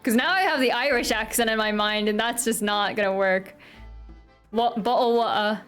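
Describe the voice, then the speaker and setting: A chatty, giggly American woman streaming live with a fairly high voice, greeting and joking with her chat. A quiet pop playlist runs faintly under her talk. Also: normal speed, smooth. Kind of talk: casual live-stream chat and game reading. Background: faint pop music playlist.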